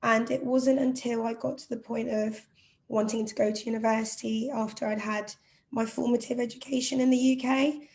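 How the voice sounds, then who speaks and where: A woman's voice: a little high, level tone, moderate pace, creaky, darkish tone. A young woman from Zimbabwe, a charity outreach officer, speaking earnestly and reflectively on a panel. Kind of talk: panel discussion.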